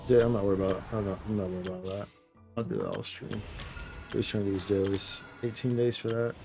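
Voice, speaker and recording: A man's voice: lowish voice, fairly even intonation, fast, over music. A man chatting casually on a live gaming stream, slangy and playful, rambling and often repeating his phrases. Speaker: game streamer, host.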